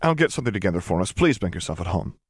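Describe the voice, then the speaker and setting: A man's voice: deep voice, very animated, racing, breathy edge, dry recording, exaggerated voice. A man voicing a wry princess and mother in an audiobook, with a dry, firm manner. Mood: gracious.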